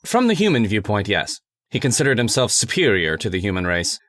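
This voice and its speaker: A man playing an earnest, persuasive scientist's assistant in old-time radio horror style, speaking formally and deliberately. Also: on the low side, very animated, a bit bright, dry recording, studio quality. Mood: measured, ominous.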